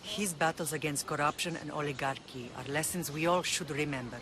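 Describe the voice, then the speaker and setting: A woman's voice: low-pitched, a little fast, a crowd in the background, lightly acted. An actress playing a middle-aged mother who rules an island, composed and commanding, in a video game cutscene. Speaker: island leader. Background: music.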